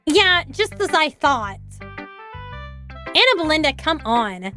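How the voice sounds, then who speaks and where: A woman's voice: high-pitched, big pitch swings, slow pace, bright, forceful tone, soft background music. A young woman streaming a game, reacting bluntly and swearing in casual commentary. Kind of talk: casual let's-play commentary.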